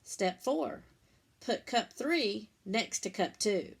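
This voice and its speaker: A woman reading a picture book to children like a teacher, in simple, deliberate phrases, pausing to explain and ask questions. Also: medium pitch, animated, gravelly, husky. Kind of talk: read-aloud storytime with explanatory asides.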